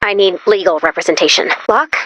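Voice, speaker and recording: A woman voicing an excitable, anxious and quirky young female robot companion in a video game, theatrical and prone to deadpan gloom. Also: medium pitch, brisk, no echo, lightly acted. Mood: earnest, flustered. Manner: theatrical, swinging from peppy cheer to deadpan gloom. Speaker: game companion character giving mission dialogue. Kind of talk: animated video game voice acting.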